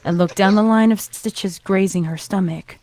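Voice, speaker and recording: A woman's voice: medium pitch, normal speed, crowd noise. A woman reading a scripted horror fan fiction story as its narrator.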